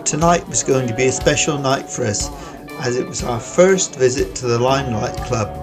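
A man's voice: medium pitch, normal speed, over music. An older British man narrating his travel vlog in a plain, chatty way. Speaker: vlog host. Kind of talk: scripted narration.

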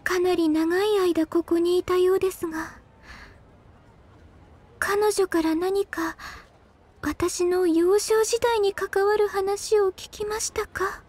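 An earnest young woman, an anxious secretary in a video game. Her voice is very high and soft, slow and formally courteous. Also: steady tone. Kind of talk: Japanese video game voice acting.

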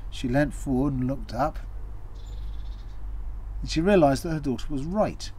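A middle-aged man telling a tale theatrically, with dramatic, deliberate pauses and repetition. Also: medium pitch, expressive, darkish tone, husky. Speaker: costumed storyteller. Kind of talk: theatrical oral storytelling. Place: small draped indoor set.

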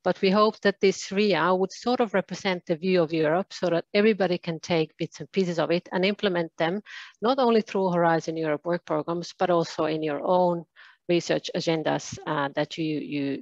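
A woman with a fairly low voice presents a conference keynote over a video call, pausing often on fillers and restarting her phrases. The sound is dry and a little dull. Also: fairly even intonation, no echo. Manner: halting, many fillers and restarts. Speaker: keynote speaker.

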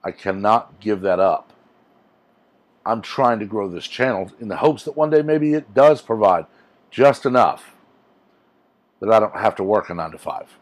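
A man's voice: lowish voice, expressive, normal speed, pauses often. A man in his forties, a YouTuber, rambling earnestly in a casual rant to camera from a small room on a lapel mic. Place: small room with green backdrop, indoors.

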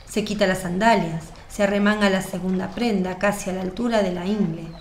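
A woman narrates a radio drama in a mid-pitched voice. The recording is roomy, with faint noise behind her. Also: fairly even intonation, fairly quick.

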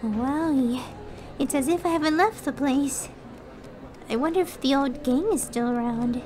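Woman acting, wry pony mare, high voice, unimpressed, dry delivery, audio drama. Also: a little slow, darkish tone, a little hiss or hum. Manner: dry, sardonic. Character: wry, spirited female pony ex-agent, unimpressed.

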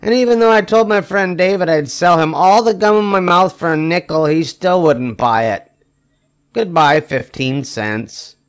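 An older man reading a children's picture book aloud expressively, with emphatic phrasing and drawn-out wry punchlines. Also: sits high, smooth. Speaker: storybook reader.

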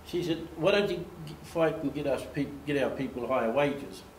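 A man's voice: a little high, normal speed, slight background noise. Older man telling stories in a hall. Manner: animated, gestures, acts out quoted voices. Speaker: speaker giving a slide talk. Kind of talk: storytelling presentation. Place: meeting room with projector screen, at a lectern. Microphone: far camera. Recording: echoey room, faint ambience.

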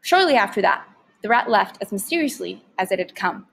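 A woman's voice: mid-range voice, big pitch swings, fairly quick, creaky, slight background noise. A woman reading a story aloud expressively, like a teacher narrating to students.

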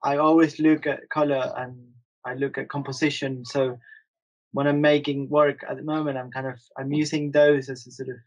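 A man's voice: medium pitch, level tone, normal speed, dull audio. A man in his thirties from Argentina, an artist talking casually and hesitantly in an interview, with many fillers and restarts.

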